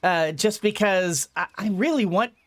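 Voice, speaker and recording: A woman gently thinking aloud as she plays a farming game for her channel, her throat a little gunky today. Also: mid-range voice, unhurried. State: slightly congested throat. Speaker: gaming YouTuber. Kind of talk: let's-play commentary.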